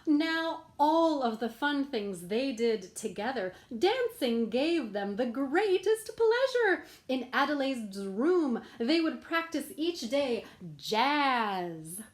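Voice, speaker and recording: Lively high-voiced woman reading a storybook. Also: slow. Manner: playful, sing-song storytelling. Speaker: children's book reader. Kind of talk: reading a picture book aloud.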